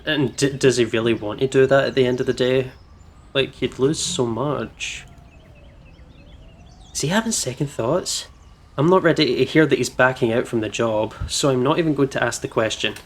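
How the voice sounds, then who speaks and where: A man's voice: medium pitch, normal speed. A British man hosting a visual novel let's play, switching between casual commentary and read-aloud narration in a playful manner. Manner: giggly, playful. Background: game music.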